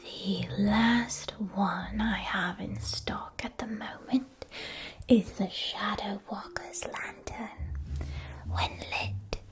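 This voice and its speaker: A woman softly whispering a scripted ASMR roleplay as a shopkeeper, breathy and deliberate, with careful enunciation. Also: medium pitch. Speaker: ASMR roleplayer as a witch school supply shopkeeper.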